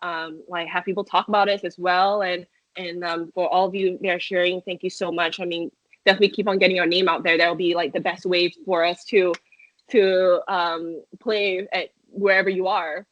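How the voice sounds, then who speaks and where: A woman's voice: fairly low pitch, flat delivery, normal speed, fairly bright tone, no room sound. A young woman from Malaysia, a metal band singer, answering interview questions in a chatty way full of fillers and restarts. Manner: chatty, many fillers and restarts, laughs often. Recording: dull lo-fi sound, dry.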